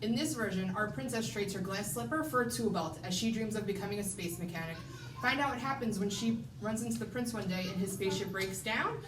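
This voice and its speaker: A woman's voice: medium pitch, fairly quick. A woman, a children's librarian, delivering a scripted book summary that poses questions to the listener. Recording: echoey room, distant mic, background noise.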